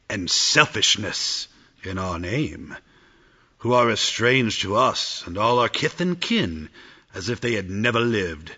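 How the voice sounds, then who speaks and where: A man plays a gigantic spirit in an audio drama, generous yet stern. The husky voice is forceful and weighty, moving slowly and swinging widely in pitch. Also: full character voice. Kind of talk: audio play acting.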